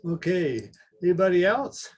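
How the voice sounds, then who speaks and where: A man with a husky voice moderating an online meeting in a casual, folksy way. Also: high-pitched, sing-song, moderate pace, a little mellow. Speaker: session moderator.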